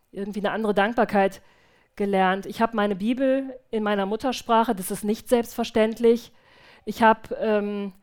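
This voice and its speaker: A woman back from mission work who speaks earnestly and reflectively at a church service, shares a testimony with hesitant restarts, then prays quietly. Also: mid-range voice. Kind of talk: church testimony followed by spoken prayer.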